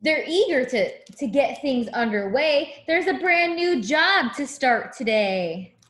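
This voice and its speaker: A woman's voice: high voice, animated, slow pace, a bit bright, roomy sound. A woman teacher reading a picture book aloud to young children, expressively, with simple asides to her listeners.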